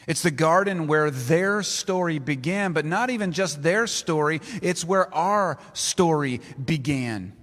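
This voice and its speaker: Male pastor, slightly high voice, slow deliberate scripture reading, big echoing hall. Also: a bit bright. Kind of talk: sermon. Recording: long reverb of a large hall.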